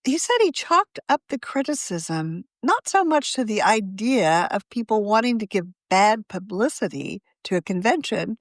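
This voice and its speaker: Lively, expressive woman reading an article. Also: on the high side, no echo. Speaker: magazine deputy editor. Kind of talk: reading her own article aloud.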